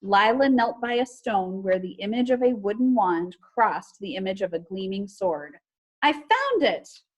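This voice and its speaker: A woman's voice: medium pitch, normal speed, hardly any pauses, low-quality recording. Woman teacher addressing a young class. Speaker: elementary school teacher. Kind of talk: read-aloud storytelling and casual announcements to pupils. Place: remote online class session.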